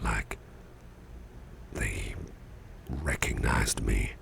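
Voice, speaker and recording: An extremely low, heavily creaking male voice acted by a man for an ailing, genial boss in an ASMR roleplay. He rambles in a folksy half-whisper, slowly and with long pauses, close on the mic. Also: noisy background, full character voice. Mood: puzzled, musing. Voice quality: very deep, gravelly, breathy half-whisper. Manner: slow, halting, folksy musing with pauses. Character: sickly, gruff but genial male boss. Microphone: close ASMR microphone.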